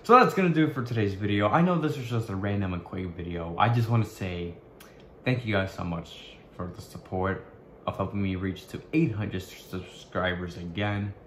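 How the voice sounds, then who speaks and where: Halting young man vlogging in echoey room. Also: lowish voice, a little hiss or hum. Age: young adult. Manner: halting, searching for words, many restarts. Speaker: YouTuber. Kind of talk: casual vlog to camera. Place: small bedroom indoors.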